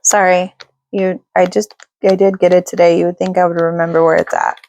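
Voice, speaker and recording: A woman giving a casual tarot reading to her viewers, chatty and reassuring, with lots of fillers and a slightly raspy voice. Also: on the low side, little pitch movement, brisk, darkish tone. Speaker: tarot reader.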